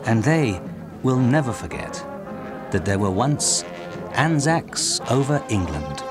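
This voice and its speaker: A male documentary narrator delivers a scripted piece with lively, varied intonation. His voice sits at a middle pitch, with music clearly playing behind him. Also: slow pace.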